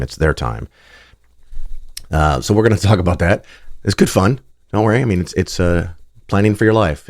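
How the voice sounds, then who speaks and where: A man hosting his own podcast, talking casually and bluntly, his voice raspy and gravelly from a cold. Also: very deep voice, darkish tone, dry recording, studio quality. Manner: blunt, plainspoken, chatty with fillers. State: getting over a cold. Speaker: podcast host. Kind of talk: casual solo monologue.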